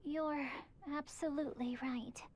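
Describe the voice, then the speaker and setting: An earnest girlish attendant, talks in a high, light pitch. She is plain-spoken and informal, in the manner of video game cutscene dialogue. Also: fairly even intonation, measured pace, a little mellow. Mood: earnest, puzzled. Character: earnest, informal young female royal attendant.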